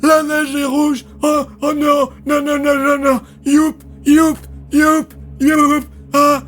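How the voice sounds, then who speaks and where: A man voicing a hysterical old man gripped by catastrophic visions, wailing and repeating himself in drawn-out cries, in an audio fiction. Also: extremely high pitch, completely flat delivery, a bit bright, voice pushed up, full character voice. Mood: panicked, wailing. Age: older. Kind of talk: dramatic audio fiction acting.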